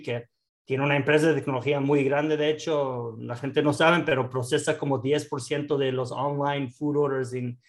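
Fairly high-voiced man. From born in the United States, raised in Israel. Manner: hesitant, many fillers and restarts, mixes in English words. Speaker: guest entrepreneur and investor. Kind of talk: casual interview talk.

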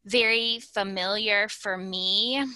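A young woman who grew up in Ecuador talks through her story with bubbly energy. Her voice is strikingly bright and sharp. The sound is close and dry. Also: medium pitch, fairly even intonation, slow pace, clear, resonant tone, no room sound. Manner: bubbly, storytelling, frequent laughs. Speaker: guest, small business owner. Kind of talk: casual podcast interview.

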